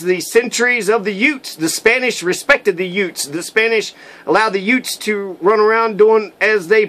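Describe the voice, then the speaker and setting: A man's voice: sits high, bright, forceful tone. A man giving an unscripted history talk, rambling and opinionated with profane asides. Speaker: history lecturer.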